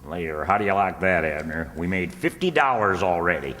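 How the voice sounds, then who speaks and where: Man acting a thrifty country schemer, low animated voice, earnest homespun manner, radio comedy, reverberant hall. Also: fairly quick. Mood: eager. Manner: folksy, homespun. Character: rustic small-town schemer, earnest, gullible and thrifty. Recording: echoey hall with long reverb.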